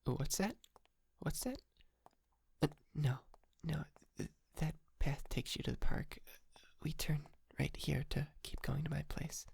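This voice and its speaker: Man playing a slow, stammering, polite lovesick kidnapper. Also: on the high side, gravelly, darkish tone, breathy edge, no echo, whispered, exaggerated voice. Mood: nervous, awkward. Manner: hesitant, stammering, nervous giggles, apologetic. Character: shy, polite, clingy young male yandere kidnapper, lovesick and awkward. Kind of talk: intimate ASMR roleplay to the listener. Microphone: close microphone, dry.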